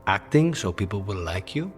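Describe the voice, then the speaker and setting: Game companion: perceptive, understated man, dry delivery, fairly low voice, some music behind. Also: sing-song, moderate pace, in a large hall. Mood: probing, kind. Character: dry, composed, perceptive coffee-loving companion. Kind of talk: video game dialogue acting.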